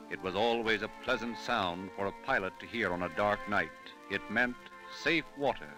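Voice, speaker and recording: An actor as a solemn narrator, reading scripted storytelling for an old-time radio drama. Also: male voice, medium pitch, lightly acted, rough recording.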